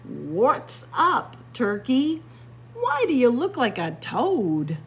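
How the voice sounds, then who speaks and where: Woman reading a kindly, expressive storybook cow. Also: on the high side, slow, breathy edge, exaggerated voice. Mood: kind, reassuring. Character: kindly, helpful female cow. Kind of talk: children's storybook character reading.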